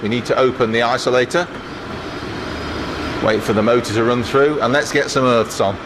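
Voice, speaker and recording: Male engineer, mid-pitched, casual hands-on technical walkthrough, matter-of-fact, recorded amid clear ventilation and equipment noise. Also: steady tone, normal speed. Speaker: transmitter engineer giving a station tour. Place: indoors in a transmitter hall. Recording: clear machinery and air-cooling noise.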